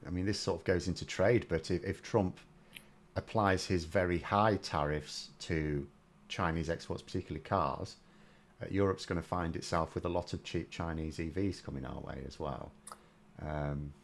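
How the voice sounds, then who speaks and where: A male host with a low voice, asking questions in a relaxed, conversational way. He chips in with short acknowledgements while his guest talks. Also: moderate pace. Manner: conversational, frequent brief acknowledgements. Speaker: host, interviewer. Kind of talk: interview and channel sign-off.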